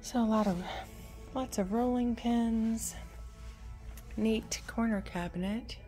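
A woman vlogging a casual walk-through of a large indoor antique mall, talking in a meandering, unhurried way with lots of fillers. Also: on the high side, warm tone. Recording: phone audio with faint store music. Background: store music playing faintly.

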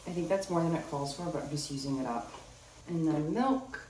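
A young woman vlogger chatting casually as she cooks in her home kitchen, thinking out loud about each step. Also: low voice, brisk, a little hiss or hum. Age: young adult. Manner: chatty, thinks aloud while cooking. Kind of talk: casual cooking vlog talk. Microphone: camera on the counter, a few steps away. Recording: echoey kitchen, mic at a distance.

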